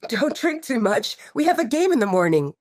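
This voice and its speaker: Woman acting a gruff, commanding male coach. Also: fairly high pitch, big pitch swings, a little fast, a little mellow, dry recording, clean studio sound, voice pushed up. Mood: grumbling. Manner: commanding, grumbling. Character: gruff, protective young male sports coach.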